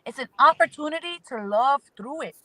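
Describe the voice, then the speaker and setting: A woman with a bright, slightly high voice offers spiritual guidance as an astrology guest. She speaks emphatically and repeats phrases in rhythmic lists, heard close and dry. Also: animated, a little fast, no room sound. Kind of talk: spiritual advice in conversation.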